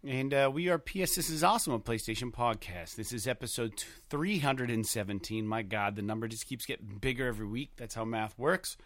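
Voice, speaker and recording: A man's voice: medium pitch, brisk, few pauses, a bit bright, dry recording. A man hosting a casual podcast, running through show plugs and swearing freely in chat. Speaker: podcast host.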